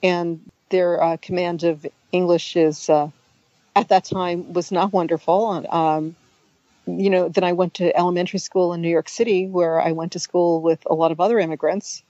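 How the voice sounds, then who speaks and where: A middle-aged woman whose first language was Hungarian speaks in a low, mellow voice, chatty and full of restarts and asides. The recording is dry and close, with a slightly dull, lo-fi tone. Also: steady tone, moderate pace, dry recording. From United States. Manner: chatty, many restarts and asides, laughs softly. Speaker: author and guest. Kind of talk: relaxed conversational interview.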